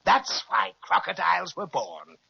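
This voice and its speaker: A vain crocodile who fancies himself a great crooner, with a husky, wildly swooping voice. He gushes grandly about himself in old children's radio serial style, on a dull, lo-fi recording. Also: medium pitch, huge pitch swings, unhurried, exaggerated voice. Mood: sentimental, vain. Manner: pompous, effusive, grandiose. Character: vain, chatty crooning male crocodile, theatrical and self-admiring.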